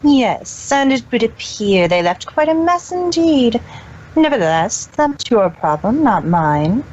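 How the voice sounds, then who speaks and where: A woman's voice: on the high side, sing-song, a little slow, darkish tone. Woman acting a haughty pony mayor, muffled noisy recording. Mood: haughty, dismissive. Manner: haughty, commanding, formal. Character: smug, cold, imperious female pony mayor and businesswoman. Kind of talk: amateur fan fiction audio reading. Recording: muffled with clear background noise.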